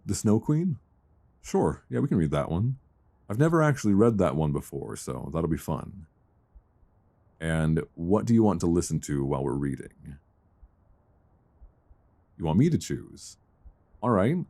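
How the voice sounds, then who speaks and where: A man playing an affectionate, bookish boyfriend in an intimate ASMR roleplay, speaking softly, tenderly and soothingly close to a binaural microphone. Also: low-pitched, very animated, full character voice. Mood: cozy, sleepy. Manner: soft, tender, unhurried, soothing.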